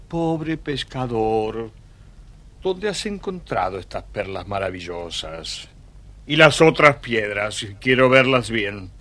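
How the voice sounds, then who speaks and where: An actor playing an imperious, excitable sultan in a theatrical children's story recording, commanding and exclamatory. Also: male voice, mid-range voice, exaggerated voice. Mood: curious, gracious.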